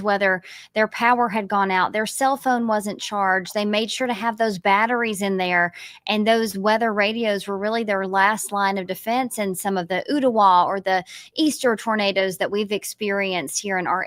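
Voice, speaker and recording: A woman's voice: medium pitch, no room sound, studio quality. A woman presenting a live local TV news segment as the anchor.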